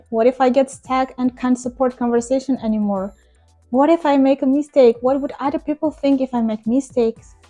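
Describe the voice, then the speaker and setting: Young non-native woman ESL teacher, high but mellow voice, earnest and encouraging, soft music underneath. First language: not English. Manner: earnest, encouraging, direct address to viewers. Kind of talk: talking-head teaching vlog.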